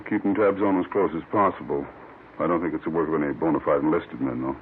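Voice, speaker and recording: Fast, low-voiced, brusque police chief. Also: male voice. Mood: brisk. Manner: brusque, hard-boiled. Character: gruff, grim senior police chief of detectives. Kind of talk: 1950s radio police drama dialogue. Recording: noisy, muffled old radio recording.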